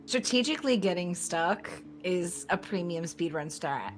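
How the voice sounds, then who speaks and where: Lively, wry woman with swooping intonation. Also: on the low side, a little slow. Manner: playful, wry, enthusiastic. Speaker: co-commentator on a speedrun stream. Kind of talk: casual playful banter.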